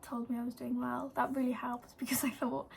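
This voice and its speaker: A young Welsh woman of eighteen rambles through a personal story in an easy vlog style, sprinkling in "like" and "um". Her voice sits high and stays fairly even in pitch. A faint hiss runs under the recording. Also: brisk, vocal fry. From Wales. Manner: chatty, lots of "like" and "um". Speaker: vlogger. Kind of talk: casual storytelling to camera.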